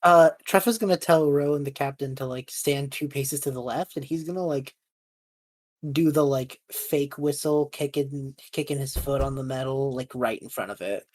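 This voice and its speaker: A woman's voice: a bass voice, moderate pace, darkish tone, dry recording. A woman playing a tabletop game, describing her character's moves in a loose, slangy, conversational way. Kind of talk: casual narration of own character's actions.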